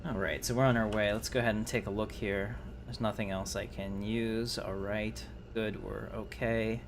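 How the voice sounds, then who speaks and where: Low-voiced man casually streaming a game. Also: level tone, moderate pace, background noise. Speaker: livestreamer.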